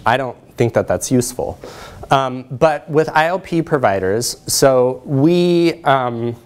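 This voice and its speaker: A man's voice: medium pitch, a little mellow. A middle-aged man lecturing in a conversational way as he presents research and takes questions. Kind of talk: conversational academic talk with questions. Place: lecture room, indoors.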